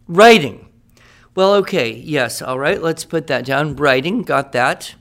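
A man's voice: a little high, lively intonation, a little slow, a bit bright. A man playing a writing teacher who leads a class in an upbeat, encouraging and wordy way. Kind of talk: scripted classroom radio drama.

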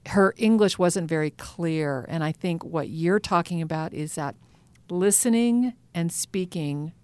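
A woman's voice: on the low side, measured pace, a little mellow, clear, resonant tone, no room sound. A middle-aged woman co-hosting an education podcast, affirming her partner's points, laughing easily and reading a friendly sign-off. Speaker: podcast co-host. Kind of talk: casual chat and scripted outro.

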